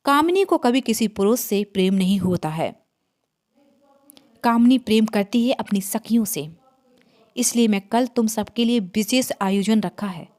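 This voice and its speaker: A woman's voice: medium pitch, animated, unhurried, a little mellow, natural voice. A woman storyteller voicing an arrogant, bloodthirsty vampire queen in a horror story, with a haughty, commanding manner. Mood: gloating, commanding. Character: arrogant five-hundred-year-old vampire queen, man-hating and bloodthirsty.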